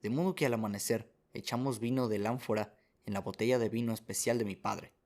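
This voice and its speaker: A man's voice: lowish voice, fairly quick, darkish tone. A man reading scripted first-person story narration as the narrator of a radio drama.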